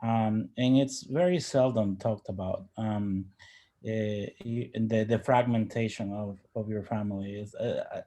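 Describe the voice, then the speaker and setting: A man from Ecuador, a native Spanish speaker, giving personal reflections as an interview guest in a halting, thoughtful way. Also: fairly low pitch, mellow. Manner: halting, thoughtful, with repeated word starts.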